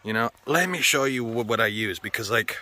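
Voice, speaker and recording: A man's voice: mid-range voice, animated, a little fast, bright, forceful tone. An American man with a slightly sore throat, rambling casually and swearing often as he chats for a vlog. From United States. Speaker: vlogger. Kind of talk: casual chat.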